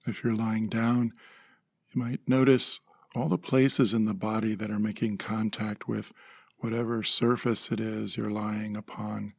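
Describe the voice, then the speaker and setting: A man leading a guided meditation in a calm, gentle manner, in short measured phrases with pauses. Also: fairly low pitch, vocal fry, husky, no room sound.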